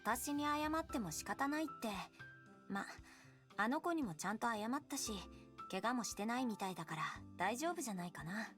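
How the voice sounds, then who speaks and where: A woman's voice: a little high, lively intonation, racing, a bit bright, faint music behind. A woman acting young female characters in a Japanese visual novel. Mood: calm, chiding.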